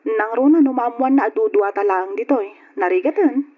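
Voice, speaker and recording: A woman's voice: a little high, normal speed, lightly acted. A woman with an Ilocano accent acting a sweet, considerate young student in an old radio drama. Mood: gentle, concerned. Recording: muffled old radio recording.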